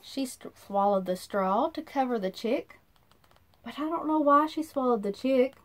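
A woman in her thirties reading a rhyming storybook aloud to children in an expressive, sing-song way, recorded in a room at about arm's length from the device. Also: sits high, measured pace. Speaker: children's read-aloud host. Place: small indoor room.

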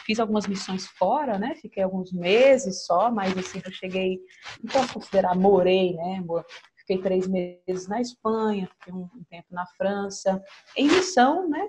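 A woman's voice: medium pitch, normal speed, a little hiss or hum. A woman in her thirties, a nun from Espírito Santo with a mixed Minas Gerais and Bahia accent, chatting animatedly on a video call and adding "né?" to her phrases. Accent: mixed Brazilian, Minas Gerais and Bahia. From Espírito Santo, Brazil. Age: 30s. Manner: animated, laughs often, adds "né?" to phrases. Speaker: nun and singer, podcast guest. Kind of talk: casual conversation. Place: plain room, video call.

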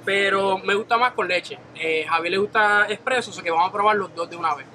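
A young man working as a barista, calmly explaining coffee in a small coffee shop. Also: sits high, a little fast, background noise. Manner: calm, explanatory, informative. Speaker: professional barista. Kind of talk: casual explanation.

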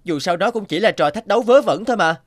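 A man's voice: sits high, lively intonation, fast talker, no echo, studio quality. A man voicing a confident, teasing teenage boy class monitor in a cartoon drama, articulate and self-assured. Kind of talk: animated drama dubbing.

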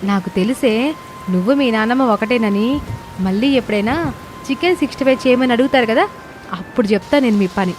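A woman's voice: a little high, animated, a little fast, pauses often, a little mellow, faint music behind, lightly acted. A woman playing a dutiful, easily hurt young daughter-in-law in a cartoon moral story, plaintive and respectful. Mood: sulky.

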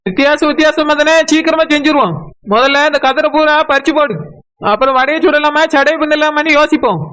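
A woman's voice: high voice, a little fast, fairly bright tone. A woman playing a sarcastic village sister-in-law in a cartoon, bantering mockingly with a southern Tamil Nadu accent. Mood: teasing sarcastic. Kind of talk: cartoon village comedy acting.

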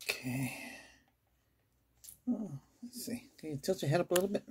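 A middle-aged man doing a casual ASMR doctor role-play, asking exam questions in a hesitant, unhurried way. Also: high-pitched, lively intonation, very noisy. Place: small curtained room indoors.

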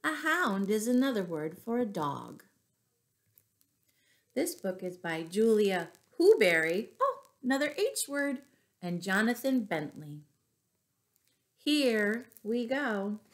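A middle-aged woman reading picture books aloud expressively to young children, pausing to explain words. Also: medium pitch, sing-song. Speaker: children's storyteller and teacher. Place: small room at home, indoors.

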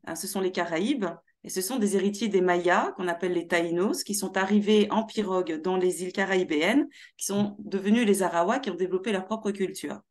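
A young woman from Paris with Martinican roots, speaking as a guest in an animated interview over a video call. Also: fairly low pitch, a little fast, hardly any pauses. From Martinique heritage, born in Paris. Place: small room at home.

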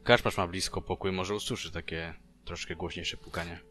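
A man with a deep voice talks through his moves as a player in a tabletop role-playing game. Music plays clearly behind him. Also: fairly even intonation, moderate pace, a bit bright. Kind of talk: in-game conversation.

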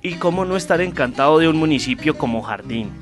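A man's voice: fairly high pitch, expressive, brisk, over loud music. A man with an Antioquian Colombian accent hosting a travel show in an effusive, promotional way. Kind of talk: scripted travel narration and on-location chat.

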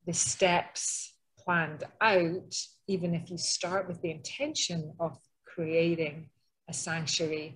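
A woman's voice: on the low side, husky, low-quality recording. A middle-aged woman with an Edinburgh Scottish accent, giving a webinar presentation over a video call, talking conversationally with frequent ums and restarts. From Scotland. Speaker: interior designer presenting a webinar.

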